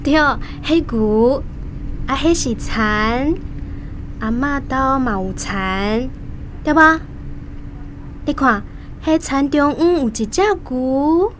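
A woman playing a gentle young mother with a Tainan Taiwanese accent, soothing her toddler in a radio drama. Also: sits high, animated, slow pace, pauses often. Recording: faint vehicle noise behind. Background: bus or car noise.

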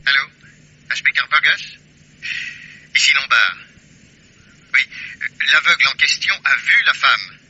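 A man's voice: medium pitch, expressive, measured pace, long pauses, bright, forceful tone, slightly rough, heavily acted. An actor playing a persistent man hunting for a missing woman in a vintage radio drama, with a probing, insistent manner. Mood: phoning. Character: persistent male amateur investigator, Lombard. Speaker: lead in a radio play. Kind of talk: 1950s radio drama acting. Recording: dull old radio recording.

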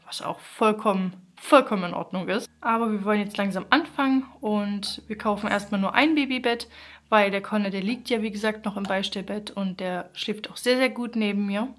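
A woman's voice: medium pitch, fairly quick. A woman in her thirties chatting casually in a daily family vlog, recorded at home on a camera at arm's length. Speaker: family vlogger, mother of three. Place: furnished rooms at home, indoors. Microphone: handheld or propped camera at arm's length.

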